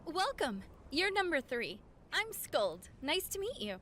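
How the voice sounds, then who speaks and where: A woman's voice: sits high, very animated, unhurried, a bit bright, slight background noise, heavily acted. A woman playing a friendly, earnest young heroine in a fan dub of an anime-style game. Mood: welcoming. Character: friendly, earnest young heroine Skuld, a serious rule-follower. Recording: faint music behind.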